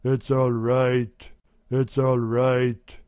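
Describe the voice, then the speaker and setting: A man voicing an easygoing working-class husband who speaks slowly in broad old dialect. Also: mid-range voice, fairly even intonation, mellow, dry recording, clean studio sound, natural voice.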